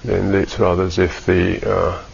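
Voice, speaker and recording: A man teaching a guided meditation in a measured, halting way with long pauses, on a dull recording. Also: sits low, flat delivery, creaky, a little hiss or hum.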